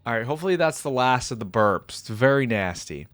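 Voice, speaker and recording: A man's voice: medium pitch, expressive, brisk, a bit bright, no echo, faint music behind. A young man giving casual reaction commentary, thinking aloud and addressing viewers in a musing, tentative way. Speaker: reaction video host.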